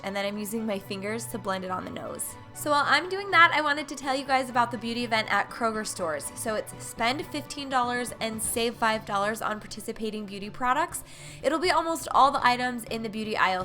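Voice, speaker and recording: Young woman beauty vlogger, stuffy cold voice, bright and chatty tutorial read, light music bed. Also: fairly high pitch. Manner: chatty, upbeat product walkthrough. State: congested from a cold. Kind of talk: makeup tutorial voice-over. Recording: faint music underneath.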